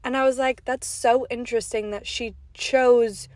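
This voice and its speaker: A woman's voice: on the high side, fairly even intonation, unhurried, darkish tone, dry recording. A young woman hosting her podcast from her car, sounding stuffy and nasal from a cold, telling a rambling, casual story full of "like" with laughs between asides. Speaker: podcast host. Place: inside a parked car.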